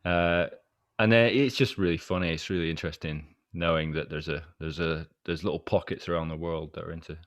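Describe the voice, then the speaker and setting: A man from Scotland hosting a casual interview, tentative and halting, often trailing off. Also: low-pitched, fairly even intonation. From Edinburgh, Scotland. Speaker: podcast host, interviewer. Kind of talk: casual chat.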